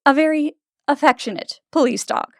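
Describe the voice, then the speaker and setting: A woman acts out a storybook princess with a slightly high voice. She sounds wary, skeptical and matter-of-fact in a comic drama, recorded very dry. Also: normal speed, a little mellow, no echo. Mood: defensive. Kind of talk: comic dub acting.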